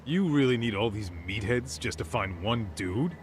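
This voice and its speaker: An actor voicing a blunt, gruff middle-aged male detective in a video game. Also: medium pitch, expressive, normal speed, background noise, natural voice. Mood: wry. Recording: game audio with music behind.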